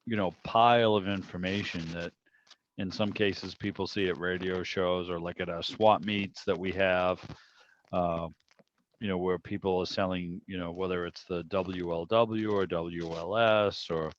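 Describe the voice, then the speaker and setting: A man's voice: low voice, fairly even intonation, a little slow, a little hiss or hum. A man hosting a club meeting on a video call, chatting casually in a rambling, conversational way. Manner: rambling, conversational, frequent "you know". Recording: dull, roomless video call audio.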